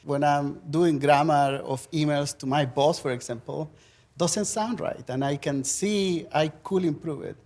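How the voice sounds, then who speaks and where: A man's voice: a little high, unhurried, a little mellow. A man from Chile with a thick Chilean Spanish accent, a native Spanish speaker, talking earnestly as a faculty panelist.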